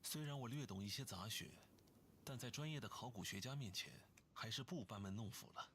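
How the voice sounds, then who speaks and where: A man voicing a composed, learned gentleman in a video game, speaking in a measured, formal, explanatory way. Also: lowish voice, a little hiss or hum, close to an everyday voice. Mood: calm, explanatory. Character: composed, learned gentleman consultant, scholarly and unhurried. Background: game music.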